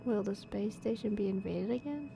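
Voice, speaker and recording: A woman's voice: mid-range voice, moderate pace, gravelly, warm tone, a little hiss or hum. A young adult woman doing casual, laid-back, slangy commentary as a gaming streamer. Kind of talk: casual gameplay commentary, reading dialogue choices aloud. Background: faint game music.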